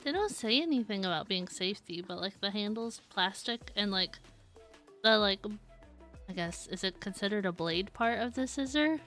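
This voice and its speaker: A woman chats casually with her viewers while she streams a game. She giggles often and fills her sentences with "like". Her pitch sits in a middle range, and faint game music plays behind her. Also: expressive, moderate pace, fairly bright tone. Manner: chatty, giggly, lots of "like". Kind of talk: casual live-stream chat.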